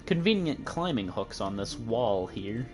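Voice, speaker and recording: A man's voice: fairly low pitch, very animated, unhurried, music in the background. A young man with a Midwestern American accent giving playful, giggly commentary while streaming a game. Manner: playful, giggly, joking with chat. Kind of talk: casual gameplay commentary.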